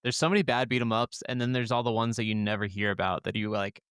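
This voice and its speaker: A man's voice: fairly low pitch, steady tone, quick pace, fairly bright tone, smooth, no echo, studio quality. A man speaking casually and conversationally as co-host of a gaming podcast, with the odd swear word.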